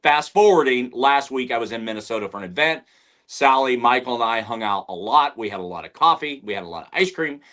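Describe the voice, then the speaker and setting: A man's voice: medium pitch, lively intonation, brisk, sharp tone. A man hosting a podcast over a video call, upbeat and joking, heaping praise on his guest. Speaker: podcast host. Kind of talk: casual interview chat.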